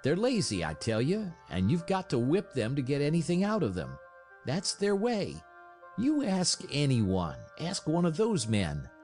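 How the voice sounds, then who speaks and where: An arrogant young man with a short temper, acted by a male narrator in a storybook. He speaks curtly and insistently, with a sneer. The pitch is pushed up and moves a lot. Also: huge pitch swings, takes it slowly, hardly any pauses, soft background music.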